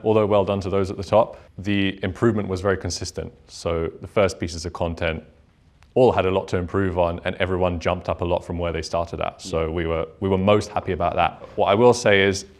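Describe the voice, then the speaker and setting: A man mentoring contestants, speaking earnestly and reassuringly to the group, repeating himself for emphasis. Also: low voice. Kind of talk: speech to a group.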